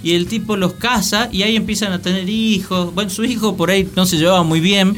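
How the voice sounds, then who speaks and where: A man's voice: high voice, fairly even intonation, a little fast, a bit bright, slight background noise. A man with an Argentine accent in casual, humorous conversation as a radio show panelist. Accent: Argentine Spanish. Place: radio studio with music behind.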